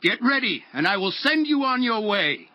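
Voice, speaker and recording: Solemn prophet, higher-pitched voice. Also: male voice, very animated, sharp tone, exaggerated voice. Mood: commanding. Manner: measured, declamatory. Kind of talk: dramatized audio Bible acting. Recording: dull lo-fi audio with music. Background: background music.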